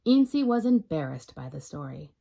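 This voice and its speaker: Animated woman reading a children's story. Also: deep voice, gravelly. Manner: expressive, pauses to question young listeners. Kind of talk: children's read-aloud narration.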